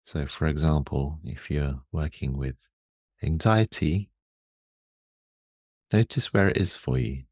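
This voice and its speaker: A man guiding a meditation in a calm, soothing and measured manner, leaving long pauses. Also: rumbling low, no room sound, clean studio sound. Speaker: meditation guide. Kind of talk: guided meditation.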